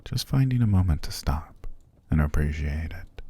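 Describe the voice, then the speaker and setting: A man playing a possessive, seductive vampire master in an ASMR roleplay, calm and commanding, slow and formal, often sinking to a gravelly whisper. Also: very deep voice, full character voice. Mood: commanding, smooth. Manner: slow, formal, soothing but controlling.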